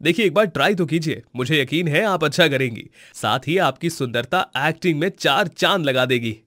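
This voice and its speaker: Persuasive male director played by a woman, deep put-on voice, admiring and keen, moral tale. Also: animated, normal speed, a bit bright, no echo, studio quality.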